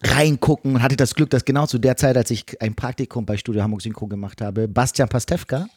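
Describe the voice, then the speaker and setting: Mellow-voiced man explaining his craft. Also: medium pitch, fast talker, husky, no echo. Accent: German. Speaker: podcast guest, dubbing voice actor. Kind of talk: casual conversational explanation of the craft.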